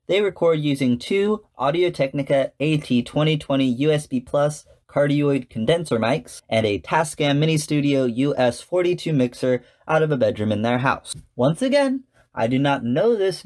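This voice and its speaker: A man's voice: mid-range voice, darkish tone. A man hosting a solo podcast, giving scripted commentary with casual asides in a chatty, enthusiastic way. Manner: chatty, enthusiastic fan talk. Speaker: podcast host.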